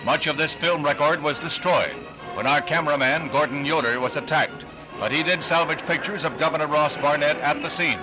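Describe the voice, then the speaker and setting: A man's voice: a little high, fairly quick, poor audio. An American man reading scripted 1960s newsreel narration in an emphatic, formal announcer delivery, on a vintage soundtrack. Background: music under the voice.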